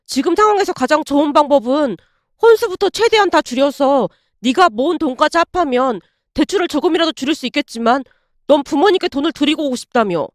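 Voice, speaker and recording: A woman's voice: sits high, animated, a little fast, no echo, clean studio sound. A woman voicing an exasperated, indignant young man in a dramatized story, blunt and scolding. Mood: frustrated.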